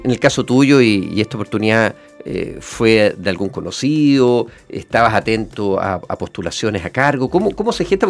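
A man with a Chilean accent hosting a conversational interview, haltingly repeating words and checking in with short tags. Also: mid-range voice, creaky. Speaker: interview show host, a doctor.

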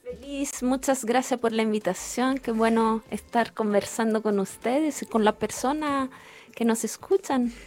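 A woman's voice: fairly high pitch, measured pace, darkish tone. A middle-aged woman from Italy, speaking with an Italian accent, being interviewed as a guest on a radio show and telling her story. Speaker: guest, education director.

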